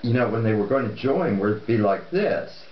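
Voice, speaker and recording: A man's voice: lowish voice, lively intonation, moderate pace, slight background noise. An older man at a family dinner table, telling a rambling story full of okays and restarts. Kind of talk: casual chat, storytelling. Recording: lo-fi echoey room.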